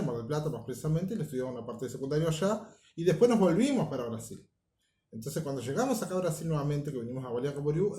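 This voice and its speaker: A man's voice: on the high side, mellow. A middle-aged Argentine man hosting a casual chat, joking and asking leading questions. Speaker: host, parent. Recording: echoey room with faint ambience.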